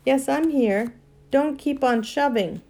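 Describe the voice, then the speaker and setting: A woman voicing a plucky, bossy young girl in an audiobook, brisk and exclaiming. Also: high-pitched, a little mellow, pitched above the performer's own voice. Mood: irritated. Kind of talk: audiobook character acting.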